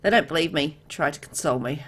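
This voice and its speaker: A woman with a low voice narrates a story game aloud in a let's play style. She reads the text as a story and drops in casual remarks of her own as the player. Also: fast talker. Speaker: gamer reading a visual novel aloud.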